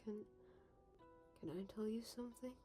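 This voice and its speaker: A woman acting a weary, haunted male war leader in a scripted comic dub, speaking haltingly in breathy murmurs. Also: a little high, dark tone, lightly acted. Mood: drugged, remorseful. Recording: faint music behind.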